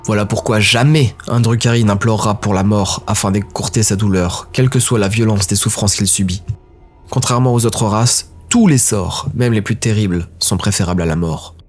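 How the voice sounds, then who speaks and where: A man narrating a dark fantasy audio drama in a steady, grave way. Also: on the low side, moderate pace, faint music behind.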